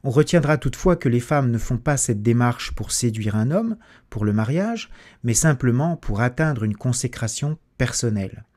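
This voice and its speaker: A man narrating a documentary in a measured, analytical scripted voice-over. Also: medium pitch, lively intonation, darkish tone.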